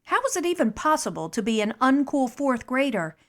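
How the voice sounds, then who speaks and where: Brief: woman audiobook narrator, expressive and measured, mid-high voice. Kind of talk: audiobook narration demo reel.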